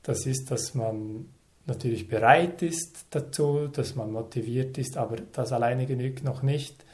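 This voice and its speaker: Brief: man, 30s, interview guest, measured and reflective, phrase by phrase. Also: medium pitch, a little mellow. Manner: thoughtful, measured, speaks in short phrases with pauses.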